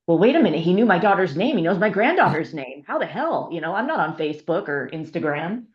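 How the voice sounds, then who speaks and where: A woman's voice: lowish voice, very animated, fast talker. A woman taking part in a group discussion, casually offering feedback and thinking aloud. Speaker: participant giving script feedback. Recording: echoey, dull call audio.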